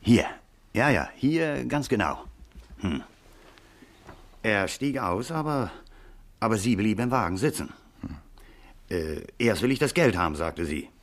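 An actor playing an eager, hesitant taxi driver witness in a 1950s radio crime drama, chatty and hemming. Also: male voice, on the low side, sing-song, exaggerated voice. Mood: halting, recalling. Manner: halting, hemming, chatty, small nervous chuckles.

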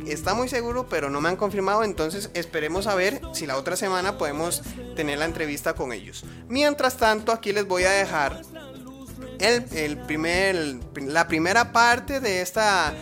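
A man's voice: high-pitched, lively intonation, moderate pace. A man hosting a radio show, chatty and informal, hesitating and repeating words as he goes. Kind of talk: informal unscripted show introduction. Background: music.

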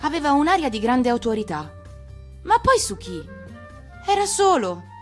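Expressive woman storyteller over music. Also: sits high, normal speed. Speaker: actress reading a fairy tale on radio.

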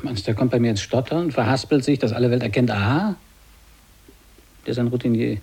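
An actor in a radio drama playing a reluctant, skeptical media man with a husky voice and dismissive manner. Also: medium pitch, fairly quick, a little mellow. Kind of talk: 1980s German radio drama acting.

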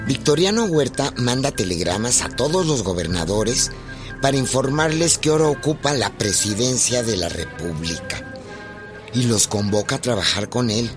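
A male narrator with a Mexican accent reads a scripted radio history. His mid-pitched voice moves in a lively way, and music plays clearly behind him. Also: normal speed.

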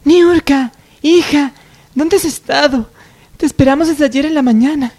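Anxious mother for radio soap , played by a woman: high-pitched, fretful, melodramatic. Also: a little slow, darkish tone. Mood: anxious, reproachful. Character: worried mother and wife at hospital.

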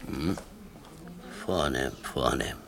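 Very deep, gravelly grumbling old man. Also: very slow, a bit bright, full character voice. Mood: dry, gruff. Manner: gruff, wry, stubborn, colloquial. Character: grumbling, cheeky old working-class man, railway track walker. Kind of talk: naturalistic radio play acting.

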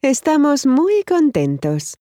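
A woman reading scripted phrases for language learners with careful, clear enunciation. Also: high voice, huge pitch swings, takes it slowly, warm tone, no echo, studio quality. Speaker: language course model speaker.

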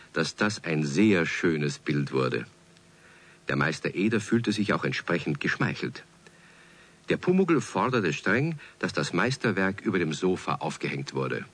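A man's voice: deep voice, a bit bright. A man narrating a scripted children's story as the storyteller of a radio play.

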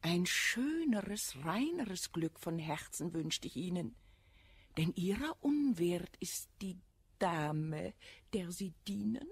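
An actress playing an effusive, confiding noblewoman who flatters and feigns sympathy in a classic verse radio comedy. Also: lowish voice, takes it slowly, slightly rough, no echo, heavily acted. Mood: pitying, insinuating.

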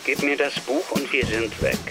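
A man's voice: mid-range voice, fairly even intonation, normal speed, over loud music, close to an everyday voice, low-quality recording. An actor playing a crude, menacing male thug in a German radio drama. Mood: threatening.

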